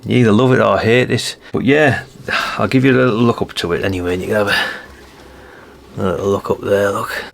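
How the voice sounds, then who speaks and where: A British man with a lowish voice full of creak talks casually through a hands-on demonstration. He is chatty and rambling, often turning statements into questions as he hosts. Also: fairly even intonation, brisk, slight background noise. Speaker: host of a radio hobby channel. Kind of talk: casual hands-on product showcase.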